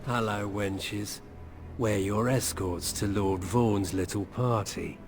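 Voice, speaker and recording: An actor playing a callous, menacing guard with a sneering, contemptuous delivery in a fantasy video game. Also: male voice, fairly low pitch, steady tone, slow pace, loud music behind the voice. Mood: taunting.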